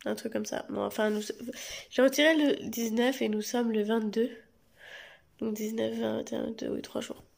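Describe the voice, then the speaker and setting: A young woman vlogging casually to camera at home late at night, hoarse from a cold, rambling with lots of hesitations and restarts. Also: fairly high pitch, fairly even intonation, normal speed, darkish tone. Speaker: family vlogger. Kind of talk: casual direct-to-camera vlog. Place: home at night under artificial light.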